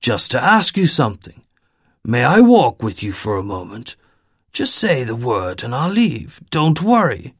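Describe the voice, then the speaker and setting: Brief: calm amnesiac young man, read by the male narrator, gentle, lively inflection. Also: mid-range voice, unhurried, husky, no room sound, studio quality, heavily acted. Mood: pleading. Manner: gentle and pleading. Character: polite young man who has lost his memory.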